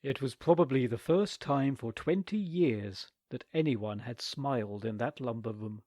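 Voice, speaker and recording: Expressive male storyteller, dry sound. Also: mid-range voice, unhurried, no echo. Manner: expressive, theatrical. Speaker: reader. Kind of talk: scripted story narration.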